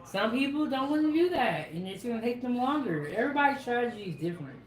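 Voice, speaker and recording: A woman's voice: a little high, animated, fast talker. A woman co-hosting a live cooking stream, chatting casually, reading viewer comments and laughing often. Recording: echoey room.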